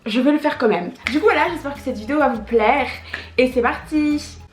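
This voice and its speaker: A woman's voice: sits high, fairly quick, roomy sound, over loud music. Bubbly teenage girl vlogging. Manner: bubbly, chatty, slangy, with bursts of excitement. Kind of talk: casual haul vlog. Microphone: camera mic.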